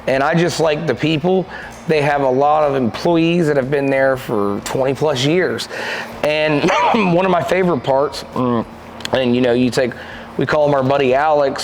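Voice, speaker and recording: A man's voice: mid-range voice, normal speed, a little hiss or hum, poor audio. A man in his thirties in casual chat, telling stories with lots of gestures in a lodge room with a stone fireplace. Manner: animated, gestures a lot, rambling storytelling. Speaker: podcast co-host and shooting club manager.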